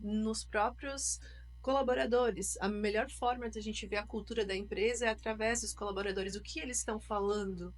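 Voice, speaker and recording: A woman's voice: mid-range voice, steady tone, brisk. A woman hosting a podcast, agreeing and building on her guest's points in casual conversation. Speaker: podcast host.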